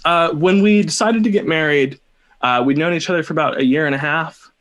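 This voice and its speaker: A young American man telling a personal story casually as a podcast guest, in a hesitant, halting way. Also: on the high side, a bit bright. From United States, California.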